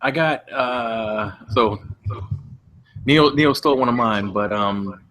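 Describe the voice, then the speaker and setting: Guy on a casual podcast, kinda halting and hesitant, bit of background hiss. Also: medium pitch, a bit bright. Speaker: podcast host. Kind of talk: casual comedic group chat. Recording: faint background noise, clicks.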